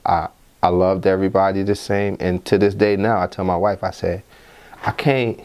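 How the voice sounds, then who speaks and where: A man's voice: low voice, level tone, moderate pace, darkish tone, clean tone. A young man born in Liberia, hosting a casual podcast chat and often restarting his phrases as he talks. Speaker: podcast host.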